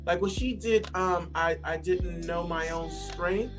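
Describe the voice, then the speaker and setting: A woman hosting a casual conversation, talking in a lively, encouraging and admiring way. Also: fairly low pitch, unhurried. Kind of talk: casual chat. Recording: music playing in background.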